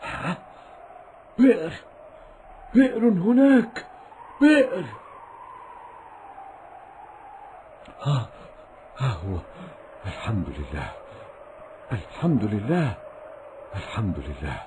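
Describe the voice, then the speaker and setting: An actor playing a pious, kind-hearted desert traveler in a children's cartoon, with a theatrical, exclamatory delivery. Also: male voice, mid-range voice, wildly swooping pitch, takes it slowly, long pauses, background noise. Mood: excited, then grateful, relieved. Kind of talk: Islamic children's cartoon acting in formal Arabic.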